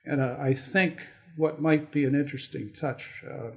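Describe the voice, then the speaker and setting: A Canadian man speaking as a guest poet on an arts podcast in a deliberate, halting manner. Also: a little high, fairly even intonation, gravelly, a little mellow. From Toronto area, Canada. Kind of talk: casual self-introduction and slow poetry reading. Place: studio.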